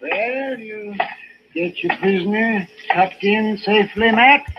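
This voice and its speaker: A man's voice: high-pitched, takes it slowly, very noisy. An actor playing a droll, morbid old American frontier doctor in a radio western, wry and relishing his words. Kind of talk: 1950s radio western acting. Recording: dull, dry old radio recording.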